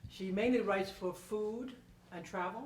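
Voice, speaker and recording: A woman's voice: mid-range voice, unhurried. An older woman telling stories conversationally to an audience, emphatic, repeating phrases and checking in with "okay?". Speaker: panel speaker and performer. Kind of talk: animated conversational storytelling to an audience. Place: indoor room at a public library event. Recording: roomy, distant mic, faint noise.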